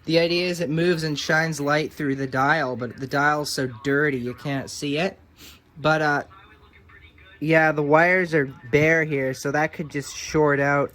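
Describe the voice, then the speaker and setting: A man with a fairly high-pitched voice presents a hands-on repair in a casual, unscripted way. He sounds offhand and is happy to put himself down as he explains what he did. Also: steady tone, unhurried, a bit bright. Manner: offhand, unscripted, self-deprecating. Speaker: hobbyist radio repairer presenting own video.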